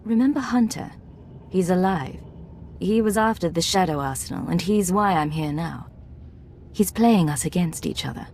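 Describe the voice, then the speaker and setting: An actress playing a self-possessed imperial secret agent in a game, speaking in a composed, crisp, dry manner. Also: female voice, fairly low pitch, lively intonation, normal speed, a little mellow, a little hiss or hum. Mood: urgent, composed. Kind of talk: video game drama acting.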